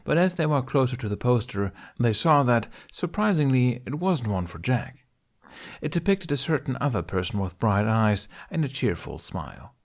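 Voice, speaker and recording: A man's voice: medium pitch, sing-song, normal speed. A man reading scripted fiction aloud as an amateur audiobook narrator.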